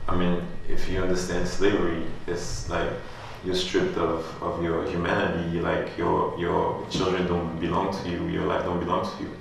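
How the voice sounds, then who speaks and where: A deep, gravelly man from France, talking in a loose, rambling way full of fillers. His flat delivery sounds echoey and distant in a gallery space, with a faint hum behind him. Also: moderate pace, hardly any pauses. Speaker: artist. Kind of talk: gallery artist talk.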